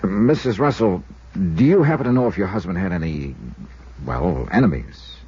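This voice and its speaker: An actor playing a suave, wry American insurance investigator in 1950s radio drama style, clipped and hardboiled. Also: male voice, low-pitched, lively intonation, normal speed, a little mellow, slightly rough, exaggerated voice. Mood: gentle probing. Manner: clipped hardboiled first-person narration and questioning. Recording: dull vintage radio recording with music.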